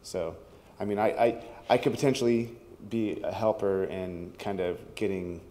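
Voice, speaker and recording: A man speaking loudly and rambling at public comment in a city meeting, as a teacher and parent. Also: sits low, fairly even intonation, moderate pace. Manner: loud, rambling, with restarts. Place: community hall meeting room.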